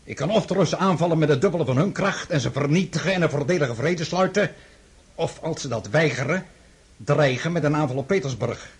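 An actor playing an imperious, overconfident French emperor in a radio drama, brusque and commanding. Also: male voice, on the high side, fairly quick, husky. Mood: impatient confidence. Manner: brusque, commanding, self-assured.

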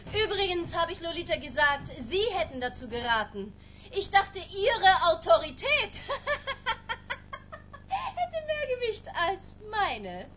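A woman's voice: very high-pitched, very animated, takes it slowly, a bit bright, slight background noise, heavily acted. An actress playing a middle-aged mother in a radio drama, flirtatious and gushing, with coy, theatrical, teasing delivery. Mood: gleeful.

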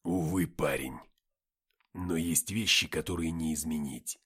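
A man voicing a proud, taunting half-angel warrior in an audiobook, with slow, emphatic delivery. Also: a bass voice, bright, forceful tone, breathy edge, pitched below the performer's own voice, full character voice. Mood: weary, resigned. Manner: emphatic, slow, drawn-out declarations.

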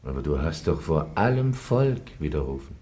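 An actor playing a cold, stern inquisitor, questioning in a measured way in a radio play. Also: male voice, extremely low pitch, sing-song, dark tone. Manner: measured, interrogating. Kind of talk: German radio play acting.

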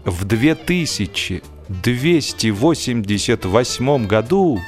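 A man narrating a humorous literary story in a theatrical, expressive way, with deliberate pauses and stretched words for emphasis. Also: mid-range voice, faint music behind. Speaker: audiobook narrator and actor.

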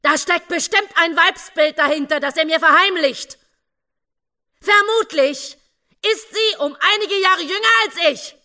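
A jealous young woman brooding in a radio play. The voice is strikingly high and sharp, plaintive and agitated, full of self-pity. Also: a little slow, full character voice. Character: Gesche's jealous, resentful, self-pitying inner voice, young woman. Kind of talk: radio drama inner monologue.

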